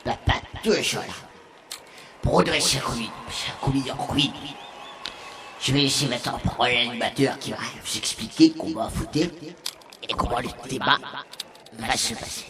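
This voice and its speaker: Creaky-voiced old alien king, pompous, paternal, comic radio serial, noisy recording. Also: mid-range voice, very animated, normal speed. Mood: pompous, fatherly. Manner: pompous, paternal public address.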